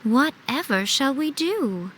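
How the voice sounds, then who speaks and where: Sly, teasing fox-spirit priestess, cool and probing, faint ambience behind. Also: female voice, high voice, big pitch swings, slow pace, a bit bright, a little hiss or hum, full character voice, poor audio.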